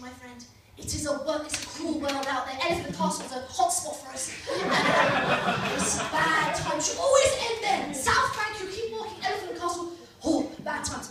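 Young woman doing stand-up, super high bright voice, teasing the crowd, echoey club from far off. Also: noisy background. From Britain. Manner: chatty, teasing the crowd, animated gestures. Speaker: stand-up comedian. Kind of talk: stand-up comedy set. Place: small comedy club stage, indoors. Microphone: handheld mic filmed by a far camera.

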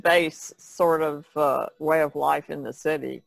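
Woman, gravelly creak, casual podcast chat, tentative phrasing, dry close sound. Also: sits low, steady tone, measured pace, no room sound. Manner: tentative, hedges with "sort of" and "kinda". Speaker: podcast panelist. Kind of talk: casual discussion.

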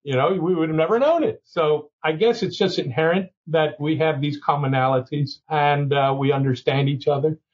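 A man's voice: on the high side, normal speed, few pauses, husky, highs cut off. A Cuban-born man who is a company's chief legal counsel, speaking as a guest in a candid, folksy way and often tossing in "okay?". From Cuba. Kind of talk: conversational interview.

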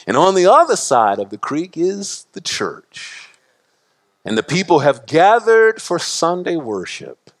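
A middle-aged man preaching a sermon from a church pulpit, impassioned and full of repetition, his pitch and loudness swinging widely. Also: big pitch swings, a little slow. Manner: gestures broadly while preaching at a pulpit. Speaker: pastor. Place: church sanctuary.

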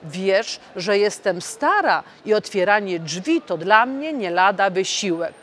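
A woman voicing an old, creaky wardrobe in a children's storybook, sneezing and grumbling about her age. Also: mid-range voice, expressive, a little slow, a little hiss or hum. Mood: weary. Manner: sneezing loudly.

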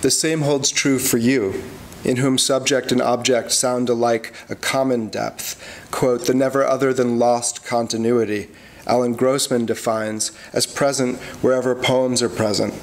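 A middle-aged man gives a live poetry reading in a medium-pitched voice. He reads from the page into a podium microphone in a lecture hall. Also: little pitch movement, a little slow. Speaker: poet, faculty reader. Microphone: gooseneck podium microphone.

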